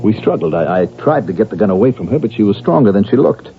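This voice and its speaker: A man's voice: on the low side, very animated, fast, over music. A man acting in a vintage radio drama as a weary, defiant accused killer and psychiatric patient, emotional and evasive. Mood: bitter, defensive. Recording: muffled phone-like old radio recording.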